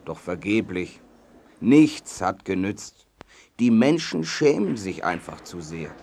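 Kindly naive king, low voice, deliberate, theatrical, worried, children's audio drama. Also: big pitch swings, creaky, a little mellow, husky, exaggerated voice.

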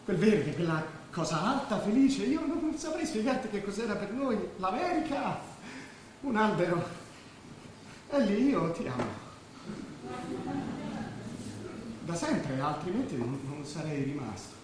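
A man's voice: high voice, animated, moderate pace. An actor playing a disillusioned war veteran in his late twenties in a stage drama, restless and wistful. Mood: tender confession. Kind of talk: Italian stage drama acting. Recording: very echoey stage, distant, faint noise.

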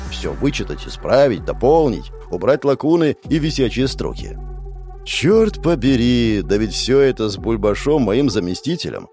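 A man reading a first-person novel aloud in an expressive, animated style. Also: mid-range voice. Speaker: audiobook narrator. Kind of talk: first-person audiobook narration.

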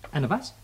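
An actor playing an eccentric, irritable old shopkeeper in a radio play, with a stammering, muttering, erratic way of talking. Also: male voice, darkish tone, slight background noise. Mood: mocking amusement.

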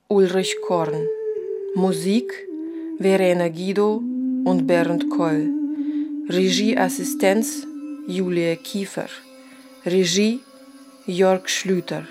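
A woman reading scripted radio drama credits in a measured, formal way, with music behind her. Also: medium pitch. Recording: music bed under voice.

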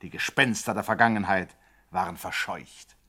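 A man's voice: low voice, normal speed, pushed and bright, slightly rough. A man narrating a 1960s radio play in a grand, mock-solemn way.

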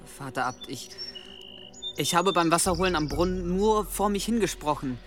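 Guilty, pleading young-sounding monk, halting, raised pitch, audio drama. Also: male voice, lively intonation, fairly bright tone, faint music behind. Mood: frightened, pleading. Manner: stammering, pleading. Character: guilty, frightened monk confessing to his abbot. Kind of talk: German audio drama acting.

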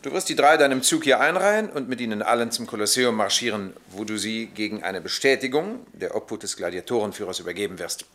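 Sharp, officious Roman captain. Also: male voice, on the low side, lively intonation, fast, few pauses. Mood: formal, stern. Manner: officious, clipped, formal military pronouncements. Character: stern Roman army captain, formal, coolly ironic. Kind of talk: radio drama acting.

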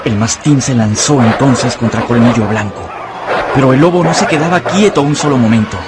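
A man's voice: mid-range voice, fairly quick, very noisy, lightly acted. A man narrating a grim adventure story as a dramatic, scripted radio play. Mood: grave.

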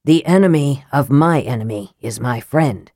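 A woman voicing a grim, caustic young male wizard in an audiobook, slow and hushed with bitter deliberation. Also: extremely low pitch, lively intonation, no room sound, clean studio sound, pitched below the performer's own voice, full character voice. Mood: measured, wary. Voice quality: hushed, whispery. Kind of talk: audiobook character acting.

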